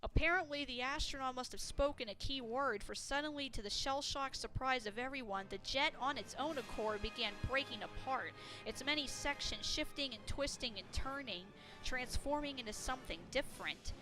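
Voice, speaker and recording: A woman's voice: high-pitched, normal speed, over music, rough recording. A woman reading a story aloud as a dramatic, scripted narration. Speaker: fan fiction narrator.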